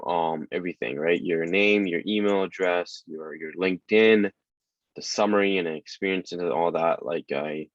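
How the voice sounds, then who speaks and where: Low-voiced man giving a casual tutorial. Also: no room sound. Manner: relaxed, many ums and you-knows. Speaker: workshop presenter. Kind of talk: casual screen-share tutorial. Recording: dull dry lo-fi audio.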